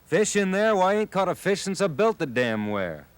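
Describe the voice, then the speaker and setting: A man's voice: mid-range voice, very animated, normal speed, a little mellow, natural voice. Charlie, a man doing a feisty old fisherman. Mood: defiant. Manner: blunt, cussing, defiant.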